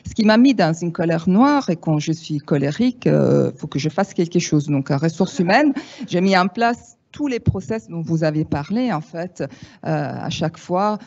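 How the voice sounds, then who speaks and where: A woman in her fifties from Italy, speaking French with an Italian accent, giving a lively, frank talk to an audience with wry asides. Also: fairly low pitch, vocal fry. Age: 50s. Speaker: speaker at a panel. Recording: dull lo-fi sound.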